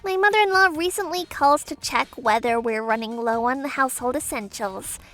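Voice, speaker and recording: A woman voicing an anxious young newlywed wife in a manga dub, expressive and easily flustered. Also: high voice, fairly quick, soft background music. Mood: narrating, mildly troubled. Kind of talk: manga dub first-person narration and dialogue.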